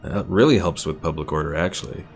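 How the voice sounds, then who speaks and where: A man's voice: sits low, quick pace, gravelly, faint music behind. A man streaming a strategy game, casually answering chat and commenting as he plays. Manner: relaxed, chatty, answers chat while playing.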